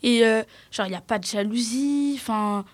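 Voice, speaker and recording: Blunt teen girl, higher voice, dry booth sound. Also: normal speed, no room sound. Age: teens. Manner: blunt, outspoken, trailing off quietly. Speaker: teen guest. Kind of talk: casual discussion.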